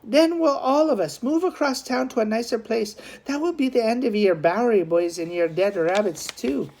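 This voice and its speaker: A woman's voice: a little high, moderate pace, darkish tone, voice pushed up. A woman voicing a scolding, fretful young woman in a storybook reading, emphatic and chiding. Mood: exasperated, bossy.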